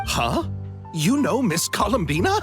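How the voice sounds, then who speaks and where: An actor playing a gallant, flamboyant film director in a video game, with theatrical, florid courtesy. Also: male voice, sits high, wildly swooping pitch, a little slow, a bit bright, soft background music, exaggerated voice. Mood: dramatic, grateful.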